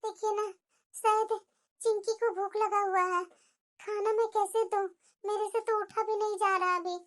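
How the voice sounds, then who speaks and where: A woman voicing a young mother, weak after childbirth, speaking softly and anxiously. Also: very high voice, fairly even intonation, normal speed. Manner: soft and anxious.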